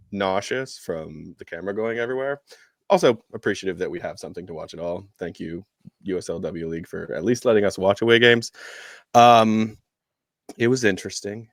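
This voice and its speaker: A man's voice: fairly low pitch, expressive, normal speed, dry recording, studio quality. A man co-hosting a podcast, recapping sport in a casual, rambling and hesitant way. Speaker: podcast co-host.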